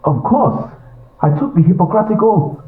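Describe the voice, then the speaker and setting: A man's voice: high voice, very animated, moderate pace. A man playing a deferential, principled doctor in an audio drama, earnest and hesitant. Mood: earnest concern. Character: deferential but principled male doctor, worried for patient. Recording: echoey, tinny phone-like band-limited sound.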